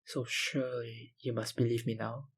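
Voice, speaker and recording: A man playing an obsessive, dominant, hungry vampire researcher in an intimate ASMR roleplay, with a breathy, gravelly voice and a softly menacing manner. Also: mid-range voice, flat delivery. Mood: smug. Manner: slow, intimate, softly menacing, lapsing into whispers.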